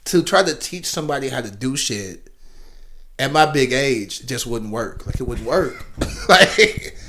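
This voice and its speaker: A man's voice: mid-range voice, lively intonation, a little slow, bright, forceful tone, a little hiss or hum. A man of forty co-hosting a podcast, joking crudely and laughing often. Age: 40s. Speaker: podcast co-host. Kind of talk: casual chat.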